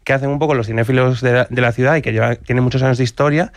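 A man from Galicia, a podcast guest, talking in fast, detailed explanations. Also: medium pitch, steady tone, dry recording, studio quality. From Galicia, Spain. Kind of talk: casual discussion.

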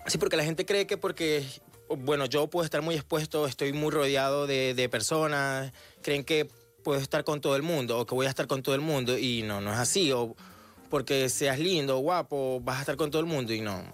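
A man answers an interviewer on the radio, pausing often and filling gaps with hesitant sounds. His voice sits at an ordinary middle pitch, with faint music running underneath. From Venezuela and Colombia. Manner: hesitant, many fillers. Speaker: guest, model and content creator.

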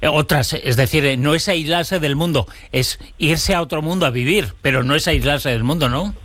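A man from hosting a radio interview, speaking in a halting, deliberate way as he introduces and questions. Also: fairly high pitch, fairly bright tone, dry recording. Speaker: radio host interviewing a guest.

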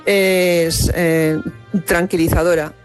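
A woman in her seventies leaving a calm, plain-spoken voice message for a radio show. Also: fairly low pitch, slow pace, faint music behind. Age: 70s. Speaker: listener.

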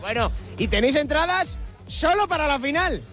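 A man working as a roving reporter, chatting to fans with quick-fire questions in live street interviews. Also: extremely high pitch, expressive, sharp tone, soft background music. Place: outside a stadium.

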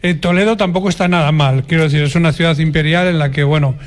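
A man's voice: fairly high pitch, steady tone, quick pace. A man with a Spanish accent from Spain, co-hosting an informal chatty interview. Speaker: interviewer, co-host.

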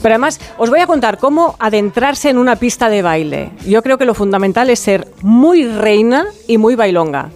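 A woman's voice: a little high, expressive, moderate pace, few pauses. A woman with an accent from Spain giving jokey, chatty music commentary with a theatrical touch, like a radio segment. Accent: Spanish, from Spain. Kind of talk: playful, conversational music commentary. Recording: music playing behind. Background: disco music.

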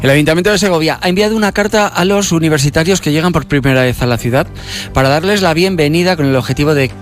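A man's voice: on the high side, quick pace, faint music behind. A man hosting a regional radio magazine, reading news items in a conversational tone. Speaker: radio host. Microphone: studio microphone.

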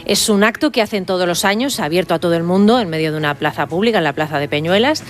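A woman's voice: lowish voice, quick pace. A woman from narrating a radio report in a conversational, engaged way.

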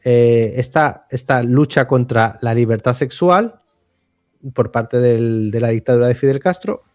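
A man's voice: mid-range voice, measured pace, darkish tone, soft background music. Spanish-speaking man musing on books. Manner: discursive, many restarts and "eh", rising emphasis. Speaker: host, literature commentator. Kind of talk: casual radio chat about books and film.

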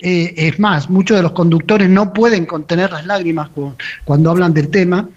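A man's voice: sits high, steady tone, normal speed, highs cut off. A man with an Argentine accent reporting for a radio show as correspondent, speaking fluently and with feeling, using fillers like "este". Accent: Argentine (Río de la Plata). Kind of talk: sports commentary on radio.

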